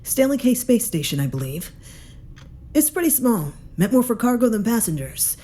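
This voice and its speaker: An actress playing a calm, firm detective inspector, measured and skeptical, in a science fiction audio drama. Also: female voice, fairly high pitch. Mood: firm, dry. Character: calm, firm, dry female detective inspector aboard spaceship.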